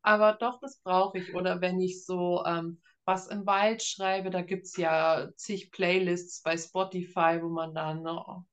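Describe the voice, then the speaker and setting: A woman's voice: on the low side, flat delivery, unhurried, few pauses. A woman in her early thirties from Germany, chatting casually as an author being interviewed, with a self-deprecating humor. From Schwerin, Germany. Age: early 30s.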